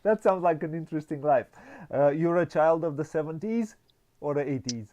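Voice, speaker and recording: Host, male, slightly high voice, hesitant delivery with frequent pauses and restarts. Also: warm tone. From Romania. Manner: hesitant, many fillers and restarts. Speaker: interview host. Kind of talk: casual video interview.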